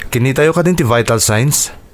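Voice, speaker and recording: An earnest male doctor played by a man from a radio drama, speaking with a Filipino accent. He is modest and businesslike, with a mid-pitched voice. Faint noise sits behind the recording. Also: expressive, normal speed, natural voice. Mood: brisk, professional. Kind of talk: Ilocano radio drama acting.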